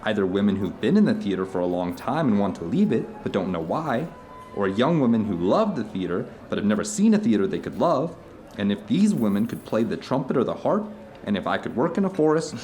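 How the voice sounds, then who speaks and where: A man's voice: lowish voice, big pitch swings, fairly quick, darkish tone, soft background music. A man playing an earnest theater director telling stories to an old friend in a conversational audio drama. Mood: amused storytelling. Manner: storytelling, earnest, discursive. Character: animated theater director recounting his travels to an old friend.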